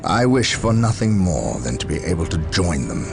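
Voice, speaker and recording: A resolute captain of space marines, low and commanding. His grave declarations rise and fall strongly over prominent game cutscene music. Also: big pitch swings, normal speed, vocal fry, heavily acted. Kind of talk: dramatic video game cutscene acting.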